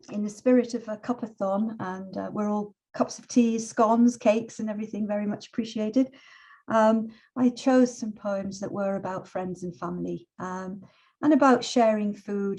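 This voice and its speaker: A middle-aged woman with a mellow, unforced voice at an average pitch for a woman. She speaks reflectively and with measured phrasing, as a poet and teacher sharing personal words and verse. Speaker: poet and teacher, invited guest. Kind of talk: relaxed personal talk and poetry reading.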